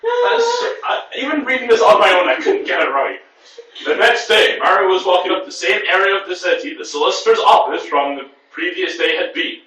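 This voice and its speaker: High bright male reader in an echoey room. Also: big pitch swings. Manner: halting, self-correcting, exasperated asides. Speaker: reader at a writers' group reading. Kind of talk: reading prose aloud with casual asides. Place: echoey room with a group present. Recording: echoey room, distant from the mic.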